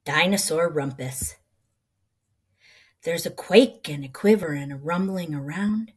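A middle-aged woman reading a children's picture book aloud in an expressive, rhythmic, sing-song way. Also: low voice, a little slow, breathy edge.

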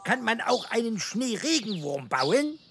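A woman's voice: on the high side, sing-song, measured pace, faint music behind. A woman voicing a cheeky, grumbling little male earthworm with childish, exclaiming delivery in a children's educational cartoon.

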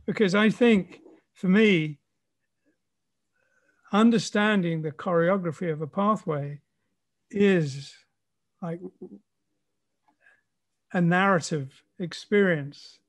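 Male conversation host, high-pitched voice, casual and courteous, appreciative of his guest. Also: slow pace, long pauses. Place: remote call.

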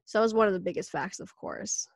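A woman hosting a casual podcast interview, chatty and relaxed, with many fillers and easy laughter. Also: medium pitch, fast talker, gravelly, dry recording, clean studio sound. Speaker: podcast host.

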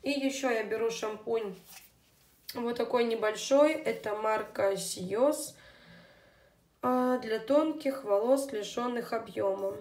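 A woman's voice: fairly high pitch, darkish tone. A young woman who has a child, chatting casually through her beauty products, her voice a little off. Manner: chatty, unhurried, with fillers. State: voice somewhat unwell. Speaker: beauty vlogger. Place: indoors at a table, hands on camera. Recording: echoey room.

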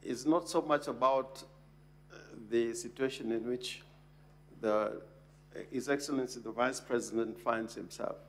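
An older man with a Kenyan English accent speaking deliberately as a senator in parliament, with frequent hesitations. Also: medium pitch, fairly even intonation, a little hiss or hum. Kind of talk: parliamentary remarks. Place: large parliamentary chamber. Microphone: desk microphone.

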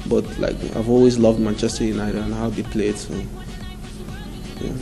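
A man's voice: lowish voice, level tone, normal speed, gravelly, over music. A young man answering interview questions indoors in an easygoing, modest way. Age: young adult. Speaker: interviewee, footballer's son. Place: indoor room.

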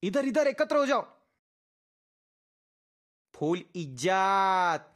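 A performer voicing an excitable teammate in a battle game, barking comic callouts with exaggerated, drawn-out delivery. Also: mid-range voice. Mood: bossy, comic. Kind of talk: shouted in-game voice-pack callouts, Hindi slang.

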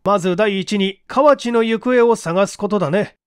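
A man voicing a composed middle-aged police investigation chief who reasons aloud with measured authority in an audiobook. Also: sits high, clean tone, dry recording, clean studio sound, pitched above the performer's own voice, natural voice. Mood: cautious, decisive. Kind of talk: audiobook character acting.

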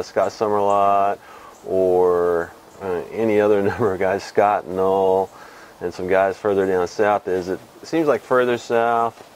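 A man in his fifties from Texas hosting a casual weekly fly fishing report to camera, relaxed and rambling with many pauses, on a lapel mic outdoors. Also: on the low side, slight background noise. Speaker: fly fishing show host. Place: outdoors under a shed with corrugated metal wall.

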